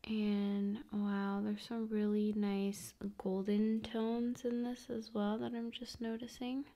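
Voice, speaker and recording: A woman speaking softly and often in a whisper, in an intimate, soothing ASMR style addressed to the viewer. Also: mid-range voice, level tone, slow. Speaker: ASMR video host.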